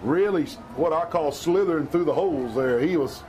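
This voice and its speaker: Hoarse play-by-play man. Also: on the high side, fairly quick, a little mellow, a little hiss or hum. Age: middle-aged. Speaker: play-by-play announcer. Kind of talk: local football commentary.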